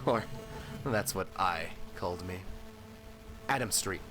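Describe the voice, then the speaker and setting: A man's voice: low voice, slow. An actor playing a rattled delivery driver who rambles and corrects himself as he mutters to himself in an audio drama. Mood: rambling, wry. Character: rattled, rambling delivery driver disoriented after head injury. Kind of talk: post-apocalyptic audio drama monologue. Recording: radio transmission with music behind.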